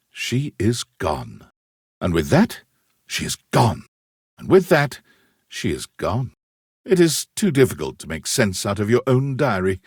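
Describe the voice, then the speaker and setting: A man giving scripted, theatrical narration for a game, telling the story in an expressive, dramatic way. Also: lowish voice, breathy edge, no echo, clean studio sound.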